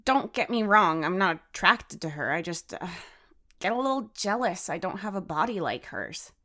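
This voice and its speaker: Brief: female narrator as timid sweet teen girl, hesitant, gushing, lifted pitch, story dialogue. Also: expressive, moderate pace, sharp tone. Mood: shy, hesitant.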